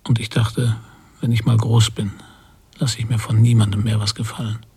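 An actor playing a haunted middle-aged father, recalling his violent childhood in a brooding radio drama monologue with a gravelly, husky voice. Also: on the low side, little pitch movement, moderate pace, warm tone. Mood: tense, bitter.

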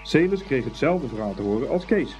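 A man narrating a scripted story for a Dutch radio play in an expressive, unhurried storytelling manner. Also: medium pitch. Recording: dull old radio recording, faint music.

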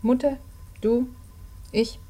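An actress playing a lonely, candid young daughter in a German radio drama, plain and earnest with quiet defiance. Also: female voice, fairly high pitch, steady tone, takes it slowly. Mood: bitter, earnest. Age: young adult.

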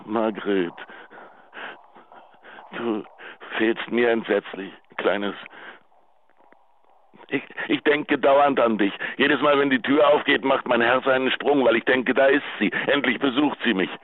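Slow, pleading husband on tinny phone. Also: male voice, mid-range voice, dry recording. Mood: pleading, longing. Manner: halting, pleading, intimate. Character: pleading, lovesick, injured husband, clingy and self-pitying. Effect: telephone voicemail filter. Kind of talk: radio drama, voicemail monologue. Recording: tinny narrow phone line.

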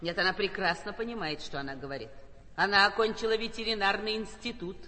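A man's voice: sits high, quick pace, pushed and bright. An actor playing a pedantic female manager who lectures on pronunciation with over-precise diction. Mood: lecturing. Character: pedantic female manager, language-institute graduate. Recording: stage recording in a reverberant hall.

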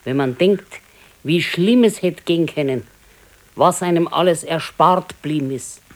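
An actress playing a practical, contented older wife in a naturalistic radio play, speaking matter-of-factly with a Bavarian accent. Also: female voice, low-pitched, a little slow. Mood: resigned contentment. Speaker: radio drama actor. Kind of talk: naturalistic 1970s radio play dialogue in dialect.

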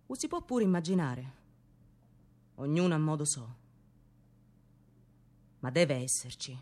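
A woman playing a lonely, brooding lover left waiting, in a theatrical radio monologue of short, deliberate phrases. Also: low-pitched, sing-song, darkish tone, exaggerated voice. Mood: hushed, wounded.

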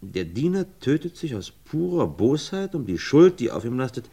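An actor playing a doctor who lays out theories in a calm, explanatory way in an old radio play. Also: male voice, mid-range voice, moderate pace, breathy edge. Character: calm male doctor friend, probing theorist.

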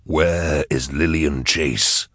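A man's voice: extremely low pitch, steady tone, takes it slowly, fairly bright tone, no room sound, studio quality, voice pushed down, heavily acted. A man voicing a grim, commanding interrogator in an audiobook, terse, grunting and laboured, as if straining to concentrate. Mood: urgent, demanding. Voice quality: husky, grunting. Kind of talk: dramatised audiobook dialogue.

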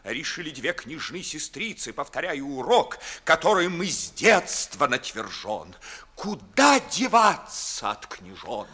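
Bitter passionate young nobleman, bright voice, pitch all over, old radio theatre speechifying. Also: big pitch swings, unhurried, breathy edge, exaggerated voice. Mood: sardonic. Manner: declamatory, rhetorical, sardonic. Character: impassioned, disillusioned young nobleman, bitterly witty idealist. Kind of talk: classic verse comedy, 1950s radio theatre acting.

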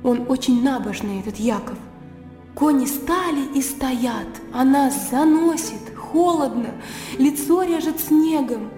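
A candid, lively young country lady in a radio drama. Her voice is high, and she talks vividly and artlessly, as if chatting. The sound is reverberant, with music playing behind her. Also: measured pace. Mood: vivid, earnest. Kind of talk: radio play acting, animated storytelling.

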